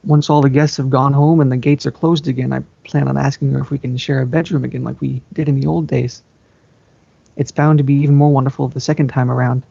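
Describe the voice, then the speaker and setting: Young guy doing a dramatic amateur story reading, gravelly creak, kinda muffled home recording. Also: medium pitch, level tone, fast talker, warm tone, no echo. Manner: theatrical, playful. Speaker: amateur fan fiction reader.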